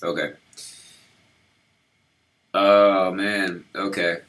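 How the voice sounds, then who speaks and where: Brief: deep-voiced male live-coder, slightly hoarse from a cold, casual and halting. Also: fairly even intonation, a bit bright. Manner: halting, lots of ums and restarts while working. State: slightly sick, losing his voice. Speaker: web developer streaming his work. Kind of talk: casual live-coding walkthrough.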